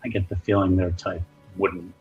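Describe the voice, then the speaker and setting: A man's voice: deep voice, level tone, normal speed, narrow, tinny audio, natural voice. A man playing a laconic, weary fantasy rogue in a tabletop game, hesitant and understated, often trailing off. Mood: cautious warning. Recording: music behind the voice.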